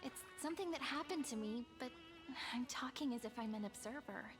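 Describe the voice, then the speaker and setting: A high-pitched, gentle young game heroine acted by a woman speaks over music. Her delivery is soft and measured, wistful and earnest with a playful edge. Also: natural voice. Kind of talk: video game story voice acting.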